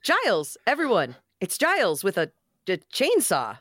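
A woman's voice: medium pitch, huge pitch swings, normal speed, a bit bright, no room sound, studio quality, voice pushed up. A woman playing a wisecracking, jittery young male sidekick in a script table read. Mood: nervy.

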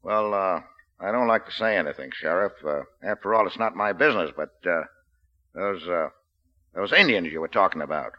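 An actor playing a menacing, cocky gangster boss with an American accent and tough, slangy speech in a 1940s radio drama. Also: male voice, low voice, lively intonation, normal speed. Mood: feigned innocence. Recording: dull old 1940s radio broadcast recording.

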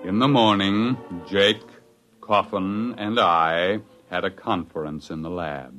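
Bright-voiced, exasperated scientist, 1950s radio. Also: male voice, sits low, takes it slowly, full character voice. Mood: weary narration. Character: exasperated, bossy male research scientist who narrates. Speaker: actor and narrator. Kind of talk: 1950s radio drama acting. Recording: 1950s radio recording, music behind.

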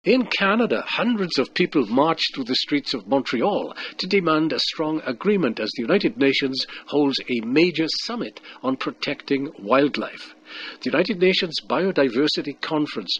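A man reading a scripted news report at a steady news-reading pace. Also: a little high, a little hiss or hum. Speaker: news reader. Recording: dull lo-fi broadcast audio.

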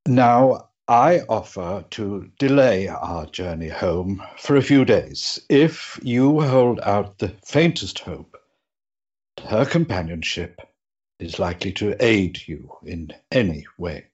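A man playing an earnest, pious clergyman in a period drama, with a grave, imploring manner and a husky voice. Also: fairly low pitch, animated, slow, darkish tone, muffled recording, exaggerated voice. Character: earnest, pious, solemn clergyman pleading for a soul. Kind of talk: period audio drama acting.

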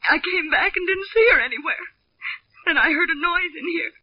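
An actress playing a shaken young nightclub pianist, frightened and halting, in a 1940s radio drama. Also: female voice, very high-pitched, animated, no room sound. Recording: dry, muffled old radio recording.

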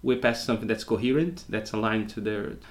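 Halting, thoughtful Brazilian-born man. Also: lowish voice. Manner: thoughtful, halting, many restarts. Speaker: guest, co-living entrepreneur. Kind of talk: conversational interview answers.

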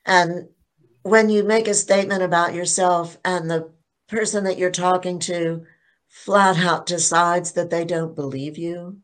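An older woman speaking as a panelist in a casual online discussion, slow and thoughtful, often restarting her sentences. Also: fairly low pitch, fairly even intonation. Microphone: video call.

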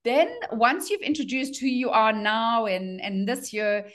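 A woman's voice: on the high side, unhurried. A woman hosting a podcast interview in an enthusiastic, chatty way. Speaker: podcast host.

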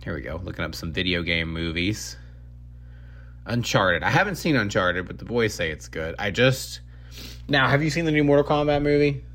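A man hosting a casual pop-culture podcast, talking in an excitable, emphatic, slangy way. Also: on the low side, normal speed. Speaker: podcast host. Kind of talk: casual chat about movies and games.

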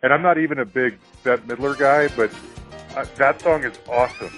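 A man's voice: medium pitch, brisk, a bit bright, faint music behind. Eager male podcast host. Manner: enthusiastic, brief reactions. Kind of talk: interviewing.

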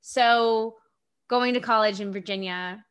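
Bright-voiced woman in her thirties, chatty. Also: a little high, steady tone, slow, clean tone. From Japan. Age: 30s. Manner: chatty and self-deprecating, laughs often. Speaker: guest, actor and filmmaker. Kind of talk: casual interview chat.

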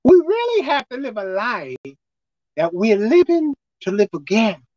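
A man with a squeaky voice preaching a sermon as pastor, emphatic and forceful. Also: sing-song, unhurried, no echo, dull audio. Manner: emphatic, pitch leaping up and down.

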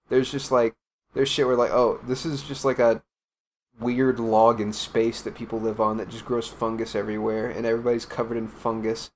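A man's voice: medium pitch, slight background noise. A young man ranting loosely in a casual group podcast, full of "like" and swearing. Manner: rambling, profane, lots of "like".

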